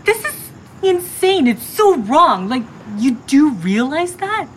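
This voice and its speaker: A woman's voice: high-pitched, very animated, takes it slowly, a little hiss or hum, heavily acted, low-quality recording. A woman playing a hurt, exasperated young ex-girlfriend in a naturalistic audio drama, blunt and wary. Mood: appalled.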